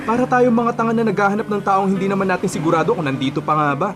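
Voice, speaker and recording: A man's voice: sits high, racing, close to an everyday voice. A man playing a blunt, exasperated but loyal buddy in a colloquial Filipino radio drama. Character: exasperated loyal male buddy urging friend to give up. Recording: loud music bed behind dialogue.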